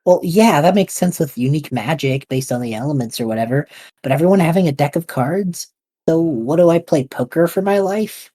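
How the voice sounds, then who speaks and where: Brief: husky, sarcastic young traveler, woman voicing, low, lively. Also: fairly quick. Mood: incredulous. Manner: sarcastic, incredulous. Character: Treff, a bewildered young male traveler. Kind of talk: fan audio drama acting.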